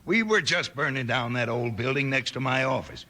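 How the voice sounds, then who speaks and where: An actor playing a scheming, domineering western swindler boss in a 1950s radio show, falsely friendly and menacing. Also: male voice, animated, moderate pace, sharp tone. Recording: crackly old radio recording with rumble.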